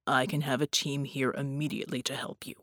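A woman voicing a gruff but gentle, stoic man in an audiobook, in a low, measured murmur. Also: little pitch movement, dry recording, clean studio sound. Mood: calm, practical. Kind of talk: audiobook character dialogue.

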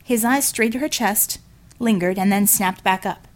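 A woman reading scripted fiction as an audiobook narrator, in an expressive storytelling style. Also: medium pitch, moderate pace.

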